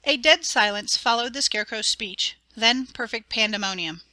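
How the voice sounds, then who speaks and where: A woman narrating a fairy-tale audiobook in an expressive dramatic reading. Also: a little high, normal speed, dry recording. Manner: clear, expressive storytelling.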